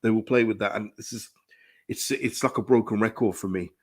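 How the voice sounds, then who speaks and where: A man, hoarse from a dry throat, giving emphatic live-stream commentary on his football club, full of restarts and repetition. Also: fairly low pitch, a little fast. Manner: animated, emphatic, repeats phrases, frequent restarts. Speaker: football fan channel host. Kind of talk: live-stream fan commentary, reading chat.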